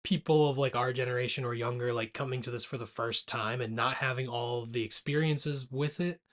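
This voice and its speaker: A man in his late twenties chats as a podcast co-host over drinks. His way of talking is informal and joking, with a middling pitch, as he discusses movies. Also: fairly even intonation, fairly quick, fairly bright tone. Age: late 20s. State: drinking alcohol.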